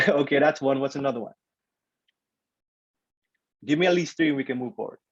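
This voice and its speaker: A young man from the Philippines, raised on Guam, whose first languages are Ilocano and Tagalog, giving a relaxed, chatty alumni talk over a video call with lots of fillers and restarts. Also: mid-range voice, fairly quick, no echo. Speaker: alumni guest speaker. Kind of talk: casual presentation on a video call. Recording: dry, slightly dull call audio.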